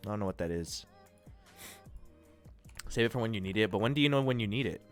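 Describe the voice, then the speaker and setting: A congested, nasal-sounding man from the United States hosting a livestream, chatting casually and rambling through viewers' comments with a relaxed, self-deprecating manner. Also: on the low side, fast, faint music behind. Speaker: livestream host. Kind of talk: casual chat reading and answering viewer chat.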